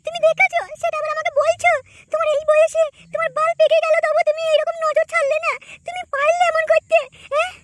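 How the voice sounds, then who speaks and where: A woman's voice: very high-pitched, flat delivery, quick pace. A woman voicing an aggrieved young village woman in a comic cartoon, plaintive, accusing and insistent. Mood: hurt, accusing.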